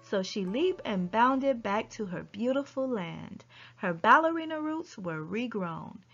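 A woman narrates a rhyming picture book for children at an unhurried pace. Her delivery is expressive, with animated rises and falls. The recording is slightly muffled, with music softly behind her. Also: on the high side. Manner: expressive, deliberate storytelling delivery. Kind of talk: read-aloud rhyming children's story narration. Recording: dull lo-fi audio with music behind.

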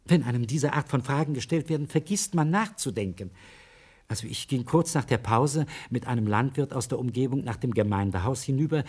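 An actor in a radio crime play as an anxious, earnest village schoolteacher who speaks haltingly. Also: male voice, mid-range voice, a little mellow, husky, close to an everyday voice. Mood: hesitant, nervous. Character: anxious, hesitant village schoolteacher, a married man under suspicion. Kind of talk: German radio play acting.

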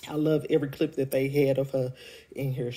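Deep-voiced woman with a head cold. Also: flat delivery, fairly quick, dark tone, breathy edge. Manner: chatty, laughs often, many ums. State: congested from a cold. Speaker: show reviewer. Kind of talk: casual vlog review. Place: indoors at home. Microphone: phone held at arm's length.

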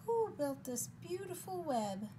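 A woman's voice: sing-song, slow, background noise, heavily acted. A woman voicing a curious, wondering storybook owl with hooting tones. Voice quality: high hooting.

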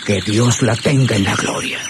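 A man's voice: lowish voice, fairly even intonation, gravelly, loud music behind the voice. An actor playing a tender rural father who dotes on his daughter in a radio drama. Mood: tender, wistful. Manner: slow, affectionate. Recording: noisy old radio serial recording.

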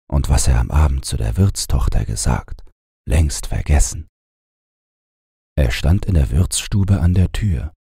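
A man narrating a bedtime fairy tale as an audiobook reader, in a calm, measured storytelling manner. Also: rumbling low, vocal fry, breathy edge, no echo, clean studio sound.

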